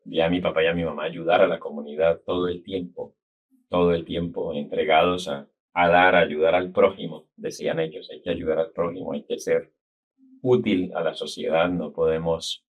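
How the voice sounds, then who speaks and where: A middle-aged man speaks with a Colombian accent from the Cali area, and his voice sits very low. He tells his story in an unhurried, reflective way, like a guest settling into a long interview. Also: flat delivery, husky, narrow, tinny audio. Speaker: guest, business consultant. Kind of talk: casual interview talk.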